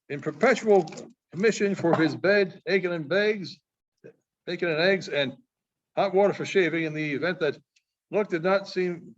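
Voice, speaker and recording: A man with a notably high voice reads a story aloud in a halting way, often stumbling and going back over phrases. The recording is dull and muffled. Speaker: librarian reading aloud. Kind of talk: reading a short story aloud.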